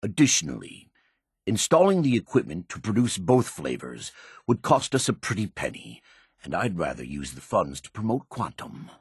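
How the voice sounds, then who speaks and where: Deep, very husky, domineering tycoon. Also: male voice, wildly swooping pitch, dry recording, clean studio sound, heavily acted. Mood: businesslike. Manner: measured, businesslike, commanding, self-assured. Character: shrewd, domineering soft-drink tycoon making hard bargains. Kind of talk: video game voice acting, recorded log.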